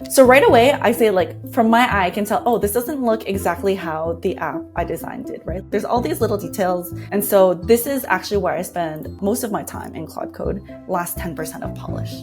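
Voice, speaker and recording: A woman's voice: mid-range voice, fairly quick, hardly any pauses, over music. Woman explaining her work conversationally. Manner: enthusiastic. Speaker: interview guest, design lead.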